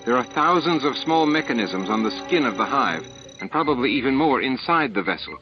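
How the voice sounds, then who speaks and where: A man's voice: medium pitch, big pitch swings, a little fast, music in the background, close to an everyday voice. A man voicing a composed, precise female science officer in an audiobook. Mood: puzzled, precise. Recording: muffled, band-limited tape audio.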